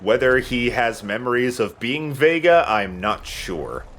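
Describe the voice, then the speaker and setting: A man's voice: mid-range voice, expressive, unhurried, a bit bright. A man hosting a Let's Play, explaining the game in a casual, informal way with somewhat hesitant phrasing. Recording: faint game noise behind. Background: video game sound.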